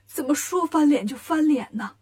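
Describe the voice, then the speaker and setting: A woman's voice: high voice, lively intonation, normal speed, dark tone. A woman voicing a timid, helpless male monk master in a children's story, plaintive and easily panicked. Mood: fretful.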